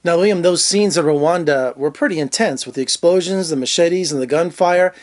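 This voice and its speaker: A film critic hosts in an upbeat, promotional way. His voice sits a little high for a man. He keeps the questions friendly. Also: fairly quick. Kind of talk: interview host.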